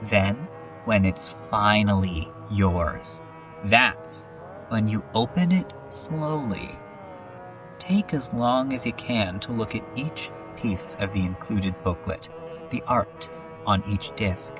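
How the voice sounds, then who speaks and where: Guy doing a brooding haunted narrator, halting and reflective, slow delivery, dull far-off mic. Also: on the low side. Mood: nostalgic, absorbed. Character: brooding, haunted adult narrator recalling a troubled childhood. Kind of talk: scripted narration, introspective dramatic monologue. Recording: dull lo-fi recording, distant mic. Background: music.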